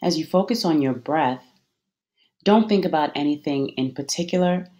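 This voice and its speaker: Measured guided meditation voice. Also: medium pitch, lively intonation, dark tone. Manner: measured, soothing instructional delivery with deliberate pauses.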